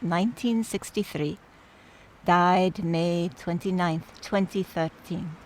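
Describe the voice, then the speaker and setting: An actress plays an earnest, easily exasperated woman at a wake in a radio drama. Her voice is fairly low and she speaks very slowly, with apologetic hesitation. A faint rumble runs behind her. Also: close to an everyday voice, rough recording. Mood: subdued, reflective. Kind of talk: radio play dialogue.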